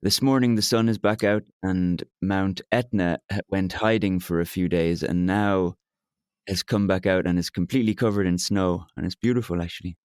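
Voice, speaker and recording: A young man from Ireland with an Irish accent, a bit hoarse today, talking openly in a therapy podcast and pausing often with fillers and restarts. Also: low voice, fairly even intonation, darkish tone, no room sound, studio quality. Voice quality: hesitant, many fillers and restarts. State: slightly hoarse from shouting. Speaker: podcast co-host, client. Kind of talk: candid therapy conversation.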